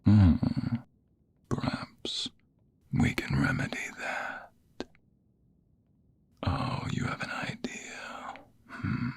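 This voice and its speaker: A man playing a smug, seductive office rival in an intimate ASMR roleplay, with a husky, breathy half-whisper and slow, teasing, flirtatious delivery. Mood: teasing, amused.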